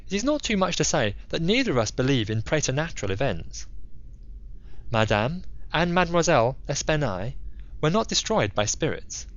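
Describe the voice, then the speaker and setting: A man's voice: on the high side, very animated, normal speed, muffled recording. A man playing a self-assured, analytical detective in an audio drama, speaking formally and methodically with theatrical emphasis. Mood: measured, deliberate. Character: C. Auguste Dupin, analytical, self-assured male detective in Paris. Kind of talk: theatrical audiobook character monologue.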